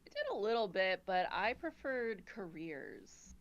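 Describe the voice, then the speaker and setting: A woman's voice: medium pitch, big pitch swings, measured pace. Woman on dull lo-fi audio. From United States. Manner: chatty, explains at length. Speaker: guest. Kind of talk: casual roundtable chat.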